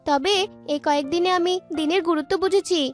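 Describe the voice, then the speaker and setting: Cartoon village girl, played by a woman: very high, earnest, slightly whiny, over a music bed. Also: brisk. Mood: earnest, relieved. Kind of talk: animated folk-tale cartoon dubbing. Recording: music behind the voice.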